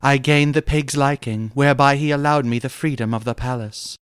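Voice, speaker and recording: A man plays a young noblewoman of pulp fantasy. The voice is soft, smooth and clear, with formal archaic phrasing. The recording is dry and close. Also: mid-range voice, steady tone, normal speed, no echo, pitched above the performer's own voice, close to an everyday voice. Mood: composed, confiding.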